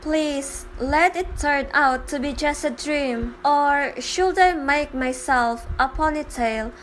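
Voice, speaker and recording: A woman's voice: high voice, takes it slowly, over music. A woman giving a scripted dramatic reading for a fan comic dub, voicing the dialogue and narration herself.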